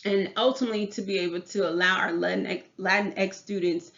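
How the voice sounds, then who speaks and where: A woman's voice: medium pitch, steady tone, brisk, creaky, a bit bright, dull audio. Earnest Southern woman teacher presenting. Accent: Southern US English. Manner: earnest, repeats and restarts phrases. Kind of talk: class presentation.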